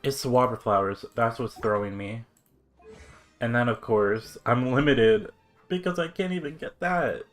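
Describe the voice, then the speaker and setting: A man's voice: mid-range voice, measured pace, soft background music. A man livestreaming a game with casual, slangy, chatty commentary.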